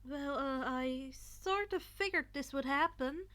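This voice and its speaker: A woman's voice: high voice, slow pace, pushed and bright, a little hiss or hum, exaggerated voice. A woman voicing a nervous, modest teenage boy in a story, hesitant and rambling. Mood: nervous, thoughtful.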